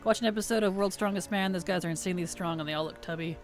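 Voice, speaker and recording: A woman game streamer chatting casually and playfully on a livestream, her tired voice starting to weaken and turn gravelly. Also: lowish voice, racing, faint music behind. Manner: playful, teasing, chuckles often. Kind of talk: casual livestream chat over gameplay.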